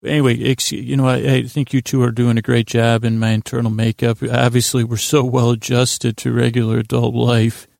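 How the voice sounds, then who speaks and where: A man with a gravelly, creaky voice hosts a sleep podcast in a close, dry recording. He speaks in lulling tones at a middling pitch. His monologue wanders and halts, gently poking at himself as it goes. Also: little pitch movement, no echo. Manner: meandering, halting, gently self-deprecating. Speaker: podcast host.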